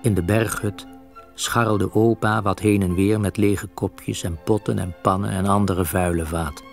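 A man narrating a children's story as a storyteller, expressive and with dramatic pauses. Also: low voice, creaky. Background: soft music.

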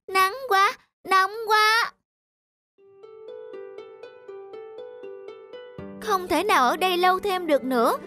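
A woman's voice: extremely high pitch, measured pace, bright, forceful tone, soft background music, exaggerated voice. A woman voicing a vain, whiny young female rice plant in a cartoon fairy tale, with dramatic, complaining delivery. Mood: distressed.

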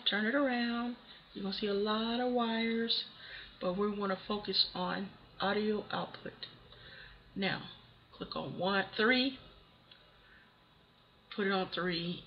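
Hoarse, unhurried tutorial voice-over. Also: medium pitch. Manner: casual, talks through steps one by one. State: hoarse from a cold. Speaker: tutorial host. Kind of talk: casual screen-recorded software tutorial. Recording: dull lo-fi voice-over with faint hiss.

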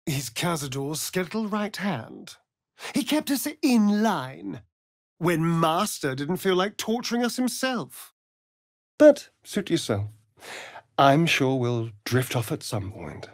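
Sardonic vain British vampire, video game role, slow arch drawl, very animated pitch. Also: male voice, dry recording, clean studio sound, heavily acted. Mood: earnest, intense. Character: sardonic, vain male vampire rogue, theatrical and catty. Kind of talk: video game character voice lines.

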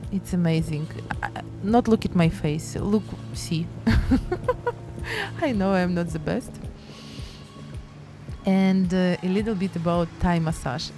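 Chatty Ukrainian woman vlogging in slow English. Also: fairly low pitch. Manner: chatty, enthusiastic, broken English. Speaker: painting vlogger. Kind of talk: casual travel and painting vlog. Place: beach outdoors and art studio. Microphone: handheld phone camera at arm's length.

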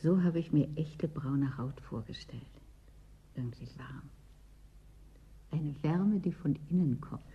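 An actress in a German science fiction radio play, playing a lonely, wistful outpost clerk with a breathy, husky voice and an intimate manner. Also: female voice, deep voice, slow pace, pauses often, lo-fi sound, full character voice. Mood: yearning, intimate.

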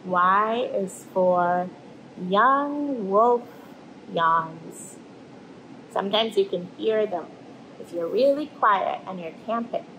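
A woman, reading a picture book aloud to children in an animated storytelling manner. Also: mid-range voice, slight background noise, low-quality recording. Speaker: storybook reader and host. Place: indoors in front of an aquarium tank.

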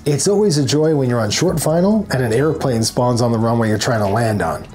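A man's voice: medium pitch, expressive, brisk, darkish tone. Man presenting a tutorial over background music. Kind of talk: scripted instructional narration to camera.

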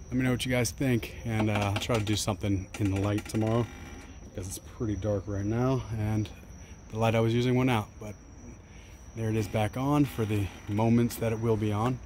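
A man in his forties casually walking through his equipment setup outdoors, relaxed and rambling with plenty of fillers. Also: lowish voice, fairly quick, a little hiss or hum. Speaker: detailing business owner showing gear. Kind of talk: casual vlog walkthrough. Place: outdoors by a fenced wall at night, later daytime.

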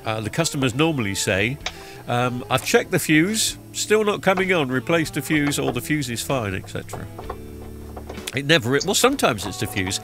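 A man's voice: medium pitch, big pitch swings, normal speed, fairly bright tone. A middle-aged man with a blocked-up nose from a cold, chatting loosely through a voiceover about a TV repair with lots of ums and uhs. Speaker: TV repair vlogger. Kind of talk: casual voiceover commentary. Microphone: studio microphone recorded in post-production. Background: background music.

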